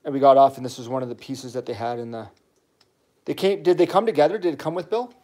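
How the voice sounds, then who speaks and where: A man's voice: medium pitch, fast talker, warm tone. A middle-aged man, a dad, chatting casually about toy trains with his child on a livestream. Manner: enthusiastic, chatty, rambling toy talk. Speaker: toy channel host, dad.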